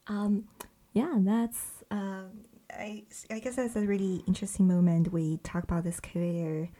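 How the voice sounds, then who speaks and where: A woman's voice: medium pitch, fairly even intonation, measured pace, mellow. A young woman from mainland China whose first language is Bai, answering interview questions in English with many fillers and restarts. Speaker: guest, startup founding member. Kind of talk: casual interview talk.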